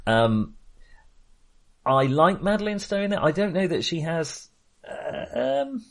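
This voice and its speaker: A man co-hosting a casual film discussion, speaking in a halting, self-correcting way. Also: on the high side, sing-song, creaky. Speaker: podcast co-host.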